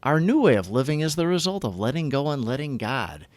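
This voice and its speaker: A man reading daily recovery reflections aloud in an earnest, deliberate, scripted devotional style. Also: medium pitch, very animated, no room sound.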